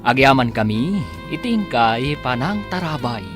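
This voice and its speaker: Guy announcing a radio soap, ilocano accent, dramatic, big swings in pitch, music under him. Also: big pitch swings, a little slow. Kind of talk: dramatic scripted radio narration.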